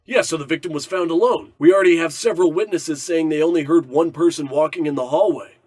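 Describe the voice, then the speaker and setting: A man's voice: fairly high pitch, quick pace, a little mellow, dry recording, lightly acted. A man playing an earnest, doubtful police sergeant in an old-time radio comedy style.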